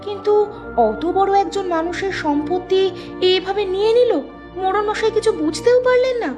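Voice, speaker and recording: A woman plays a timid village wife in a cartoon story. Her voice is clearly high, kindly and concerned, with noticeable music behind it. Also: a little fast. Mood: worried, curious. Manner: questioning, concerned. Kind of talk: dramatized Bengali moral-story cartoon dub.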